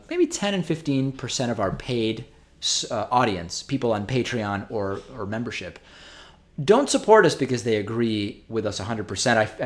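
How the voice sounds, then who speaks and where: A man whose first language is Spanish, hosting a live stream and reading out viewer donations in a casual way, with frequent ums and restarts as he thinks aloud. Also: mid-range voice.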